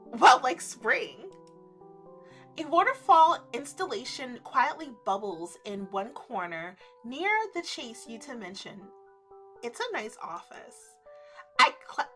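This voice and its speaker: A woman's voice: high-pitched, a bit bright. A woman reading a visual novel aloud, with playful, effusive, casual reactions. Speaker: streamer playing a visual novel.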